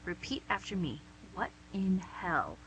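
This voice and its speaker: Woman for suave devil boss lady, radio comedy. Bright, crisp condescension, pedantic, slightly noisy recording with hum. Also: fairly low pitch, sing-song, measured pace, heavily acted. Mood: patronizing. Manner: pedantic, condescending, mock-polite. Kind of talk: amateur radio comedy acting.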